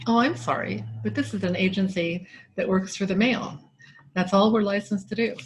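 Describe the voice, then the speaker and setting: Woman playing a coy radio-play receptionist, medium pitch. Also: fairly even intonation, normal speed, a little hiss or hum, natural voice, rough recording. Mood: polite, interested. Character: coy, businesslike female agency receptionist. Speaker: actress in an amateur radio-play reenactment. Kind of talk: old-time radio drama acting. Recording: remote home recording over video call.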